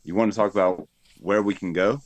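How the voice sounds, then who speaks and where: A man in a casual podcast chat, thinking out loud with reflective tag questions. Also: fairly low pitch, fairly even intonation, brisk, no room sound, poor audio. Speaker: podcast co-host.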